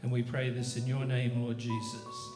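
A man leading an extemporaneous public prayer as a pastor, reverent, in measured phrases. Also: mid-range voice, level tone. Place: church sanctuary during live service. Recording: echoey room, music behind voice.

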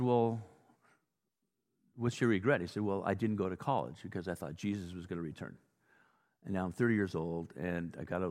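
Male American pastor, lowish voice, informal storytelling sermon style, recorded in a big reverberant space. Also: a little mellow. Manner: informal, acts out dialogue, exhorting. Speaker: pastor preaching. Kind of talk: conversational sermon with anecdotes. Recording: reverberant hall.

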